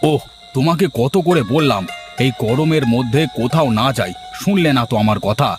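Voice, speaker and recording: A man's voice: medium pitch, expressive, moderate pace, lightly acted. A pampered city brother-in-law from a cartoon, acted by a man. He is whiny and full of complaints. Music plays faintly behind him. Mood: whiny, irritated. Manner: grumbling, complaining. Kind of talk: cartoon dialogue acting.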